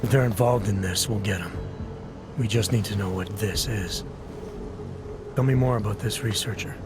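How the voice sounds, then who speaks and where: An actor playing a guarded, laconic covert agent in a video game, with clipped, understated delivery. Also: male voice, on the low side, moderate pace, over music, lightly acted. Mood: probing, restrained. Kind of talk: video game cutscene dialogue.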